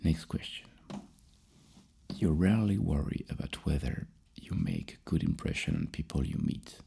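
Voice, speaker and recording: A man speaking softly and breathily as an ASMR psychologist, asking questions in a halting, musing way. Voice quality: soft, breathy, gravelly low murmur. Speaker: ASMR roleplay host playing a psychologist. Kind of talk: soft-spoken ASMR questioning.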